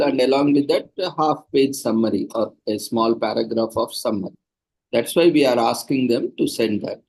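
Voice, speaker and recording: A man's voice: medium pitch, a little mellow, breathy edge, rough recording. A man organizing a seminar, patiently explaining procedures to participants over a video call. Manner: patient, instructive, plain and practical.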